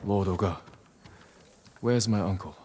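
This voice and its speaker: Man playing a low-voiced, solemn young samurai. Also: unhurried, darkish tone, a little hiss or hum, natural voice. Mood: calm. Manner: restrained, solemn, terse. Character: earnest, resolute young samurai lord. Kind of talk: dramatic video game cutscene acting.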